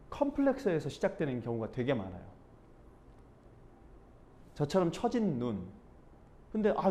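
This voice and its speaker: A man from Busan teaches a speech and expression class in a relaxed, conversational way. His voice sits a little high for a man and moves through a very wide, lively pitch range. He keeps breaking into acted-out snippets of dialect. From Busan, South Korea. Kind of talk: informal class talk.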